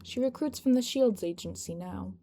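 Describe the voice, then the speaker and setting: Level-headed male aide, read by a woman, mellow, matter-of-fact, gently chiding, for audio fiction. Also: mid-range voice, wildly swooping pitch, moderate pace. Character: patient, practical male aide to a young boss.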